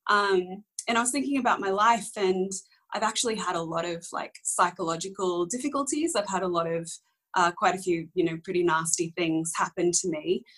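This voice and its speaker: A woman in her twenties, whose was Korean, speaks with a slightly low voice. She tells her story candidly in a casual interview, wandering and correcting herself along the way. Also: brisk, fairly bright tone, slightly rough. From Australia. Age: young adult. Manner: rambling, candid, many ums and self-corrections. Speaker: interview guest.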